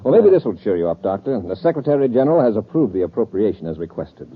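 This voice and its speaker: An actor playing a decisive, steady male space patrol commander with a brisk, authoritative manner in an old-time radio adventure. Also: on the low side, slightly rough. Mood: calm, assured. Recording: tinny, hissy old radio recording.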